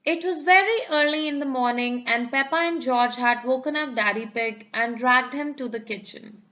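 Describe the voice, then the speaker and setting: A woman reading a picture book aloud to small children in an animated, deliberate way, on a slightly dull recording. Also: sits high. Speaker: storybook reader. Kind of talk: expressive read-aloud narration for small children.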